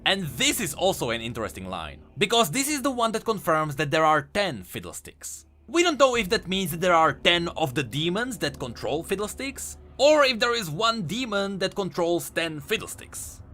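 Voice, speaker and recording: High-voiced, animated male explainer. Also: normal speed, hardly any pauses. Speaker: video host, game lore commentator. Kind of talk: scripted explainer narration.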